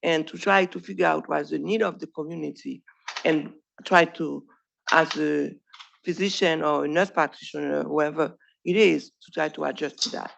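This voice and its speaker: Haitian-accented woman, gravelly voice. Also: low voice, steady tone, normal speed, rough recording. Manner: earnest, plain speech with small grammar slips. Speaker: primary care doctor. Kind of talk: presentation to trainees. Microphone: podium microphone.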